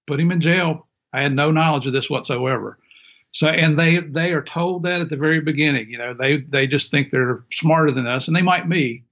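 A man's voice: on the high side, gravelly, fairly bright tone, muffled recording. An older man with a country Southern accent from Tennessee and West Virginia, telling stories as an interview guest in a folksy, self-deprecating way. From Middle Tennessee and Southern West Virginia, USA. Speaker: former drug enforcement agent, interview guest. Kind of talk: casual interview storytelling.

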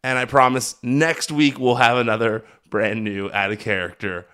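A man hosting a wrestling podcast, talking in an energetic, hyped-up, chatty way while recovering from being sick. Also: mid-range voice, moderate pace, clear, resonant tone. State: recovering from illness. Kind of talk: casual podcast recap.

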